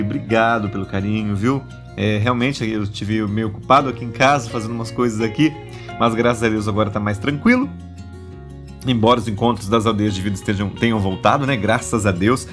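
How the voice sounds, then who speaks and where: A man with a Brazilian accent hosting a casual religious podcast, chatty and full of little tag questions, his voice nasal from a stuffy cold. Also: lowish voice. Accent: Brazilian Portuguese. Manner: chatty, warm asides, many tag questions. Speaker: podcast host.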